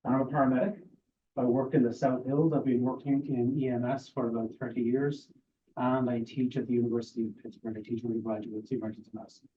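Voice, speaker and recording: A man in his forties with an Irish accent, answering interview questions at a council meeting in a measured, courteous way. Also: medium pitch, level tone. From Ireland. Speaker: board applicant. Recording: tinny, distant council-room audio.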